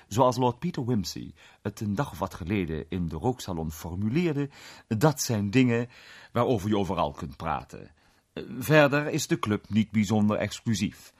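A wry, urbane clubman narrating a radio play. The voice is very low and rough-edged, with a lively, swooping intonation. He delivers the tale with dry humour. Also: heavily acted. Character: male London club member, urbane, wry narrator.

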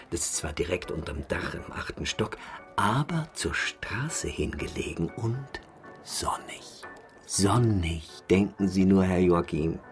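An actor playing a sly, philosophising hotel floor waiter in a radio drama, insinuating and theatrical, with whispery asides. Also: male voice, deep voice. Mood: confiding, wistful.

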